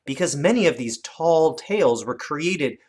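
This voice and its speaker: A teacher giving an instructional lesson for children, with animated, expressive storytelling emphasis. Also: mid-range voice, measured pace, slightly rough. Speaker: elementary teacher.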